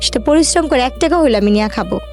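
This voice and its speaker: High-voiced Bangladeshi woman telling her story. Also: expressive, quick pace, darkish tone, soft background music. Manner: colloquial, run-on narration with quoted dialogue. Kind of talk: personal storytelling in an interview, recounting conversations.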